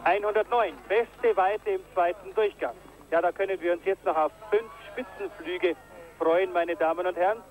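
A man giving live commentary on a ski jumping event, getting excited on big jumps, from an old broadcast tape. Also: sits high, moderate pace, rough recording. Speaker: sports commentator.

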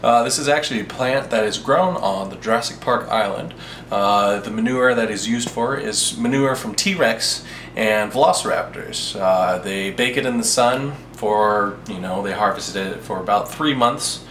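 A young man hosting a spoof cooking show, recorded on a camera mic set back from him in a home kitchen. His voice bounces around the room and carries a layer of hiss. He tells ridiculous stories about the food with a straight face. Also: mid-range voice. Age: young adult. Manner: deadpan, absurd tall tales told straight. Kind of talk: mock cooking show, deadpan parody. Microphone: camera mic from a few feet away. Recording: echoey kitchen, distant camera mic, noisy.

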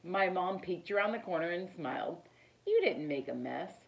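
A middle-aged woman reads a children's picture book aloud in an expressive, deliberate storytelling manner. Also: on the low side, creaky, lo-fi sound. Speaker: teacher reading aloud. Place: living room, indoors.

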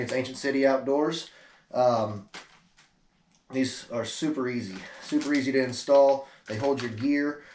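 A man's voice: mid-range voice, a little mellow. An American man in his thirties giving a laid-back, conversational product review to camera. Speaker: product reviewer, kayak angler. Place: small room indoors. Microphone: camera mic a few steps away. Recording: roomy echo, noticeable background noise, clicks.

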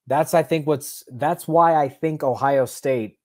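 Excitable young man streaming from home. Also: fairly high pitch, a little slow. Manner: excitable, rambling, sarcastic asides. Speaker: sports reaction streamer. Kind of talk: live post-game reaction. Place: small bedroom at home. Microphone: earbud headset mic on a webcam stream.

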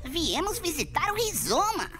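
A woman's voice: very high voice, huge pitch swings, measured pace, full character voice. A woman with a Brazilian accent voicing a helpful, friendly talking banana in a children's cartoon. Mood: helpful, calling. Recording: children's music behind.

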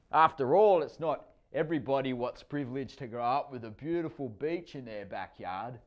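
A man's voice: fairly high pitch, lo-fi sound, pitched above the performer's own voice. A man doing a cocky surfer dad. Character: cheerful boastful middle-aged surfer stepdad.

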